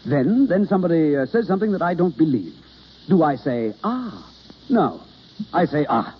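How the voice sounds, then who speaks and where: An actor playing an instructive, theatrical understudy coaching a novice in an old-time radio drama, on a noisy, dull vintage recording. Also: male voice, a little high, big pitch swings, moderate pace. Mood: amused, coaching. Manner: instructive, theatrical, hesitant with uhs. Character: male theatre understudy coaching a novice, impatient yet kindly.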